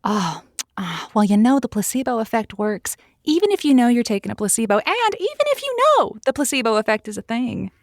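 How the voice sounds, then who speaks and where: An actress playing an earnest, playful radio advice host in an audio drama, with a hesitant, folksy way of talking. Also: female voice, fairly high pitch, sing-song, a little fast, no room sound, studio quality. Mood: amused, skeptical. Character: chatty, earnest, skeptical female advice radio show host.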